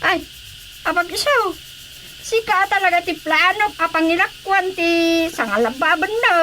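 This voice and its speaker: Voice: very high-pitched, expressive, moderate pace, pushed and bright, a little hiss or hum, exaggerated voice, low-quality recording. An actor playing a bossy, insistent little character in a Filipino radio comedy drama.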